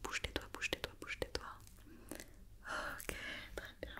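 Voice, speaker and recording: A woman speaking in a soft, breathy whisper, playing a patient, methodical doctor in an ASMR check-up roleplay. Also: a little slow. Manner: patient, methodical, repeating instructions and questions. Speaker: ASMR performer playing a doctor examining a robot.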